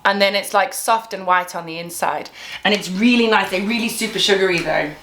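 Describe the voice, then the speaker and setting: A woman's voice: medium pitch, fairly quick, a bit bright. A woman with a Zimbabwean accent chatting casually and laughing often while tasting snacks. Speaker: vlogger. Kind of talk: casual chat. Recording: echoey room, faint noise.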